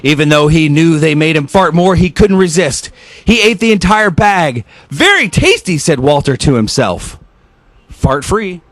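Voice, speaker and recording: Man, radio host, high voice, dry sound, playful mock-dramatic storytelling, casual asides. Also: a little slow, dry recording. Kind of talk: animated storybook read-aloud with off-the-cuff asides.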